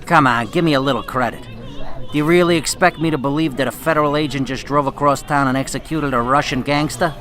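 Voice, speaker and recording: An actor playing a shrewd, proud Italian-American mafia boss in a radio drama, talking in a smooth, wry, streetwise way. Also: male voice, mid-range voice, fast talker, fairly bright tone, close to an everyday voice. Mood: knowing, wry. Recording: music behind the voice.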